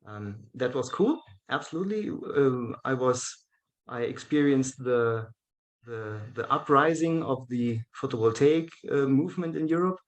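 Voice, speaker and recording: German man telling his story with halting pauses. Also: mid-range voice, expressive, poor audio. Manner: hesitant, many fillers and restarts, laughs often. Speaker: guest, event organizer. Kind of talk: casual interview chat.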